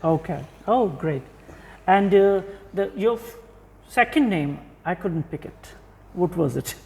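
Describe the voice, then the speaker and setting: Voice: mid-range voice, expressive, slow, vocal fry, dark tone, in a large hall. An interviewer hosting a podcast, asking questions in a conversational way and affirming often with short okays.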